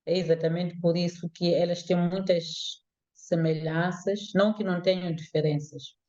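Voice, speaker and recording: A woman linguist, giving measured, explanatory interview answers with some hesitations. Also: on the low side, fairly even intonation, mellow, highs cut off. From Mozambique.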